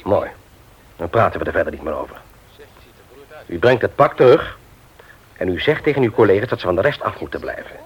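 A stern guy telling someone off, husky lowish voice, goes up and down a lot, old radio play. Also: animated, fairly quick, long pauses, full character voice. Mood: lecturing, firm. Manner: lecturing, emphatic. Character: stern, moralizing man confronting a petty thief. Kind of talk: Dutch radio play acting. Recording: muffled vintage radio recording.

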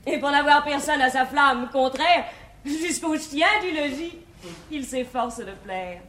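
An actress playing a sensible, poised young woman in love in a classical verse comedy, measured and articulate in 1950s stage style. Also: sits high, a bit bright. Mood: reasoning, gently teasing. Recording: echoey, hissy 1950s theatre recording.

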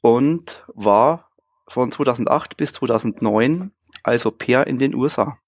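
A man's voice: mid-range voice, measured pace. A man in his thirties from Bavaria with a Bavarian accent, a guest in a casual interview, chatting easily with frequent filler sounds. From Bavaria, Germany. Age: 30s.

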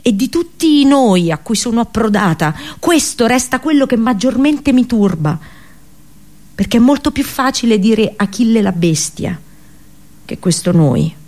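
An actress playing a captive Trojan princess and prophetess in a solemn radio theatre monologue, grave and sorrowful, with literary, declamatory phrasing. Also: female voice, on the high side, expressive, a little slow, darkish tone. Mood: anguished, bitter.

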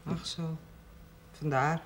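An actress playing a stern, fussy parish housekeeper in a television period drama, scolding in a dry, clipped way. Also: female voice, sits low, fairly even intonation, slow pace. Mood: reproachful. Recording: clearly noisy old television soundtrack.

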